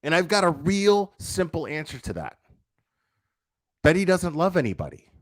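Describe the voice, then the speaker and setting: A man's voice: on the high side, big pitch swings, moderate pace, dry recording. Blunt, profane man ranting, dry close sound. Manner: emphatic repetition and rhetorical questions. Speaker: online commentator, livestreamer. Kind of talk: livestreamed opinion rant.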